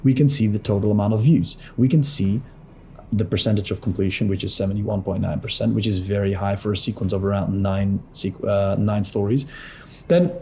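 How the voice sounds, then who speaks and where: A man talking through a screen-share tutorial as a business coach in a lowered late-night voice, blunt and pushy, swearing freely. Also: steady tone, a little fast, mellow, slight background noise.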